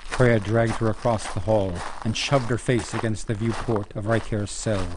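A man reads scripted dramatic narration for a fantasy radio play. His voice sits fairly low. A little noise is audible in the recording. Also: level tone, moderate pace.

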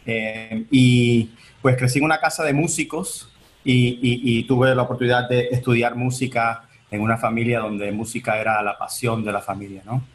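A man's voice: medium pitch, little pitch movement, moderate pace. A man in his forties, born in Venezuela and raised in the United States, answering interview questions in a chatty way with many restarts over a video call. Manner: chatty, many fillers and restarts, gestures while talking. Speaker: entertainment attorney, guest. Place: home office on video call.

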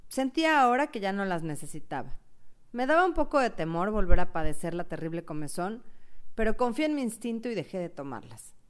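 A heartbroken young woman, played by an actress, narrates her own life in a radio novel. Her voice is very clear and resonant, sitting at a middle pitch, and she sounds anxious and personal as she tells it. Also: big pitch swings, fairly quick, lightly acted. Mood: resolute, relieved.

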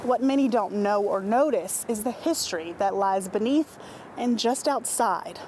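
A woman reporter narrating a local news feature in a measured, scripted voice-over. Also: mid-range voice, a little mellow, a little hiss or hum. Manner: measured, polished delivery.

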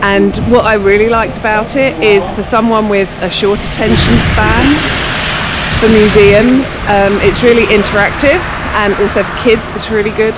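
A woman's voice: mid-range voice, steady tone, normal speed. Chatty woman on snowy streets. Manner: chatty, frequent ums, recommending sights. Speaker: travel vlogger. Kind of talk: self-guided walking tour vlog. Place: snowy city streets outdoors. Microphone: handheld camera at arm's length. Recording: outdoor street noise.

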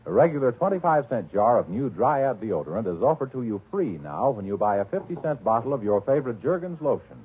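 A man's voice: mid-range voice, sing-song, fairly quick, few pauses, husky. An American man as a 1940s radio announcer, reading scripted sponsor copy with a polished salesmanlike delivery. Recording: muffled, band-limited 1940s radio broadcast.

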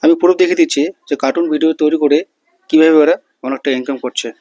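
A man presents a how-to in a casual, step-by-step way. His voice sits a little high for a male speaker, and the sound is dry with no room to it. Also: fast, darkish tone, no room sound. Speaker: tutorial host. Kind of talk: casual step-by-step screen tutorial.

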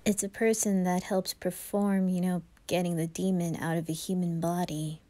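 A woman voicing a cool, knowing young anime boy who explains things in a measured, hesitant way. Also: fairly low pitch, flat delivery, warm tone, close to an everyday voice. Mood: matter-of-fact. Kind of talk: anime fan roleplay.

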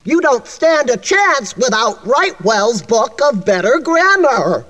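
A timid little cartoon gnome speaks in a high, lively voice. He is nervous and furtive, like a servant sharing secrets, and takes his words slowly. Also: very noisy, exaggerated voice. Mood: urgent warning. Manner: nervous, conspiratorial, cautioning. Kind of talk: cartoon video game character acting.

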